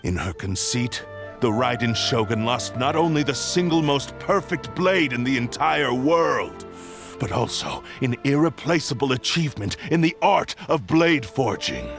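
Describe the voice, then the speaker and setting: A man's voice: medium pitch, animated, a little slow. An actor voicing an arrogant, ruthless sentient sword that possesses a man, speaking in a cold, proud and menacing way. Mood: solemn. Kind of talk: video game voice acting. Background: game background music.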